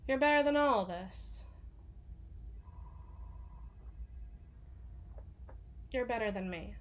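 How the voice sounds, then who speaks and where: A woman playing a gruff, sweary villain's bodyguard who confides haltingly and sardonically in an intimate ASMR audio roleplay. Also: on the high side, animated, close to an everyday voice. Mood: self-deprecating. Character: gruff, foul-mouthed female villain's bodyguard, sardonic and haunted.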